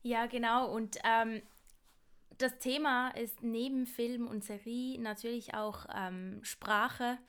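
A woman's voice: a little high, steady tone, takes it slowly, fairly bright tone, smooth. A young woman from Switzerland with a Basel accent, co-hosting a casual podcast over a remote call and speaking hesitantly with laughs and apologies about her German. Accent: Basel Swiss German. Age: young adult. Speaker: podcast co-host. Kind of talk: casual chat.

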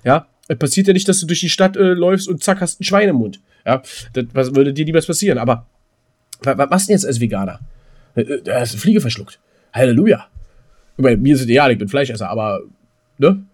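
A man in a casual German podcast chat, talking in an animated, colloquial and teasing way as co-host. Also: mid-range voice, fairly quick.

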